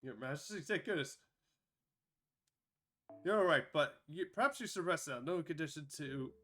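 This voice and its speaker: A man doing a frantic high-voiced court attendant. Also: animated, moderate pace, fairly bright tone. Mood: anxious. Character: panicky male court attendant.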